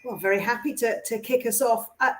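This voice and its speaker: A woman's voice: a little high, fairly quick. A woman speaking as a panelist in a panel discussion, talking emphatically and often repeating herself as she makes a point. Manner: animated, emphatic with self-repeats.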